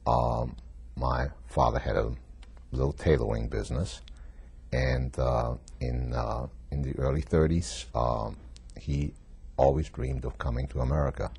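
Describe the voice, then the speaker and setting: An older man from Germany giving oral history testimony as a Holocaust survivor, telling his story in a halting, reflective way with frequent pauses. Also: very deep voice, vocal fry, darkish tone, husky. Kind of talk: recorded oral history interview.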